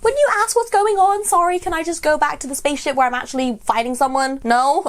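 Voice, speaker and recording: A woman's voice: sits high, fast. A young woman with a blocked nose giving bubbly, laugh-filled reaction commentary on a film. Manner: bubbly, laughs often, enthusiastic.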